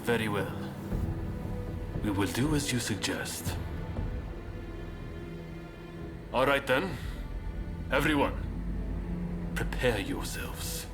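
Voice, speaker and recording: An actor playing a serious rebel leader in a video game, formal and authoritative. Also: male voice, mid-range voice, animated, slow, long pauses, over loud music. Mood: commanding. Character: serious, composed man leading a band of rebels. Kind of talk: video game cutscene acting.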